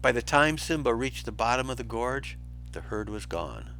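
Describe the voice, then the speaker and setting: A man reads a children's story to young listeners with theatrical expression. His medium-pitched voice is bright and rises and falls dramatically. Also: animated, normal speed, gravelly, soft background music. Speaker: storybook reader for schoolchildren.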